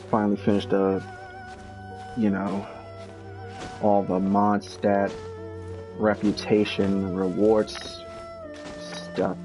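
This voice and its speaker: A man giving casual, halting live commentary as he streams a game, with a dry, grumbling manner. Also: sits low.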